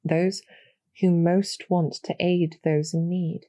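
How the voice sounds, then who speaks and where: Calm, knowing succubus demoness played by a woman for audio roleplay: dark, mellow, low and gravelly, intimate, measured persuasion, very close dry sound. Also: no room sound, dull audio. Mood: knowing, gentle. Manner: measured, deliberate, softly persuasive. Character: calm, knowing demoness succubus archer, former hero, loyal to her queen.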